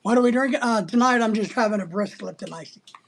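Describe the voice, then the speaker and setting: Husky-voiced American livestreamer, blunt and teasing. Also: medium pitch, fast talker. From United States. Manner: blunt, teasing, sometimes breaking into playful exclamations. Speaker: livestream host. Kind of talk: casual rambling live chat, answering viewer comments.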